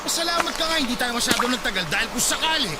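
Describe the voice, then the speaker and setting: Casting: heartless young boyfriend, radio drama, high-pitched, rapid, irritated and scornful delivery. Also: male voice, animated. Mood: cold, irritated. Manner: scornful, exasperated, dismissive. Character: callous, irritated young boyfriend dumping his pregnant girlfriend. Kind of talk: Tagalog radio drama acting. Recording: loud music under the voice.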